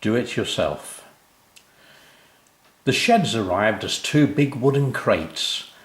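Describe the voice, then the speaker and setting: Animated older British male storyteller. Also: fairly low pitch, takes it slowly, roomy sound. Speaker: storyteller reading his own children's story. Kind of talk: expressive children's story narration.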